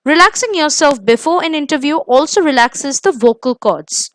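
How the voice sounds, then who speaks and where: A woman with an Indian accent and a high voice narrates an instructional lesson. Her delivery is scripted, measured and emphatic, as a coach giving tips. The sound is dry and close, with very little room in it. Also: expressive, a bit bright, no echo. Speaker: presenter of interview coaching lesson.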